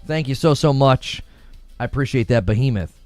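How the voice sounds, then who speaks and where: A man hosting a livestream in a casual, slangy, conversational way, reading out viewer messages and shout-outs and repeating himself for emphasis. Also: mid-range voice, moderate pace, dry recording, faint music behind. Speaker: livestream host.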